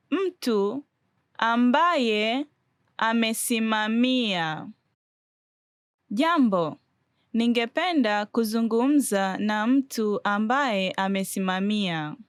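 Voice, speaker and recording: Lady saying drill phrases slow and careful, bit high voice, dry sound. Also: no echo. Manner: careful, deliberate enunciation. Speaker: native-speaker phrase demonstrator. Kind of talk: scripted language drill.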